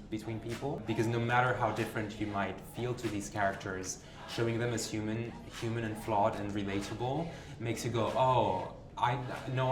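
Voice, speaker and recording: A man giving a thoughtful, slightly halting interview as an actor talking about his work. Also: fairly low pitch. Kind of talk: reflective interview. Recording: roomy echo with music underneath. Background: music.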